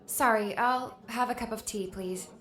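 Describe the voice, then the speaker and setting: A woman's voice: a little high, moderate pace, a bit bright, natural voice. A woman playing a polite, unassuming young woman in audiobook dialogue, understated in manner. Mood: polite, reassuring.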